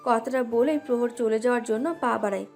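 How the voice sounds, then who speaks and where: Female narrator for romantic audio fiction, high register, scripted read with a light music bed underneath. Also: fairly quick, a little mellow. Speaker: story narrator. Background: background music.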